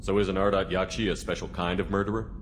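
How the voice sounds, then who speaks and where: An actor playing a direct, businesslike military commander in a video game, speaking plainly and asking pointed questions. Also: male voice, low voice, steady tone, fast talker, noisy background, close to an everyday voice. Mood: probing, concerned. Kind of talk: video game dialogue acting.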